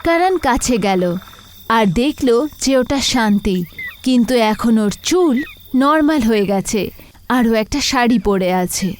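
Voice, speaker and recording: A woman's voice: on the high side, lively intonation, a little slow. A woman narrating a scripted horror story.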